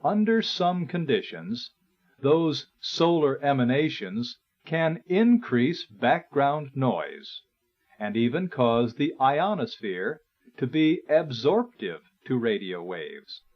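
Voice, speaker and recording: Slow, expressive male instructional narrator. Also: medium pitch. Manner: measured, explanatory. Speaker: narrator and presenter. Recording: dull, lo-fi 1980s recording.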